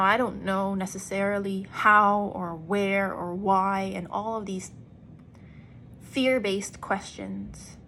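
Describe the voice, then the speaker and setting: A woman actor speaking in an earnest, deliberate personal monologue with emphatic pauses. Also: medium pitch, fairly bright tone, slight background noise. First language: English. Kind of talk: reflective personal vlog monologue.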